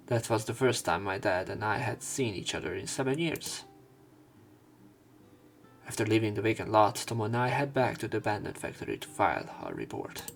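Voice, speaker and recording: Male let's play narrator: deep, fry-heavy voice, expressive visual novel read-aloud over quiet music.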